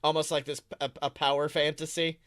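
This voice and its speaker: A podcast co-host chatting casually and reading fan fiction aloud in an animated, wry way, often self-interrupting. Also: mid-range voice, brisk, dry recording, clean studio sound.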